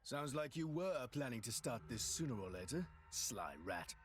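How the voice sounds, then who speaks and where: An actor playing a cocky, teasing rogue with a husky voice and a flippant, wry manner in a video game. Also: male voice, medium pitch, very animated, moderate pace, slight background noise, lightly acted. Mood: mocking. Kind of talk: video game cutscene acting.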